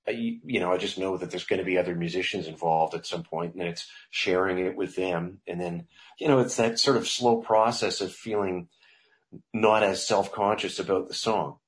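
Low-voiced man, rambling casual talk. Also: moderate pace, poor audio. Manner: rambling, loose, with frequent restarts and laughs. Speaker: songwriter guest.

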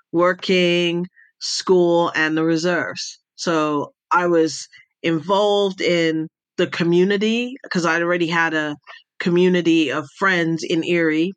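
A middle-aged woman speaks in a bright, forward voice with an accent that sits between British and American. She is recorded close and dry. Her delivery is casual and chatty, like a guest telling her own story with plenty of asides. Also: fairly low pitch, dry recording. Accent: mixed British and American. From raised between the Middle East, Europe and the United States. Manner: chatty, digressive. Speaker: podcast guest, intelligence advisor and speaker.

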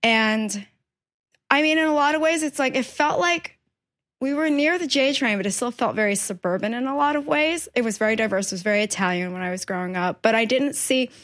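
A woman in her thirties talks animatedly about her life in a painting studio, her voice sitting a bit high for a woman. She laughs often and restarts her sentences as she goes. The recording is a casual podcast chat. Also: brisk, fairly bright tone. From born in China, raised in New York. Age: 30s.

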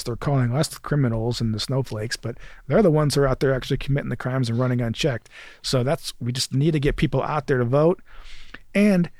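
A middle-aged man with a mixed accent, Great Lakes vowels blended with a Southern drawl, talking loosely and chattily, full of "you know" and restarts. His voice sits at an ordinary middle pitch. The recording is dry and close, with almost no room sound. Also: dry recording. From Western New York. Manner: relaxed, chatty, frequent fillers and restarts. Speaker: political candidate guest. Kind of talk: casual conversation.

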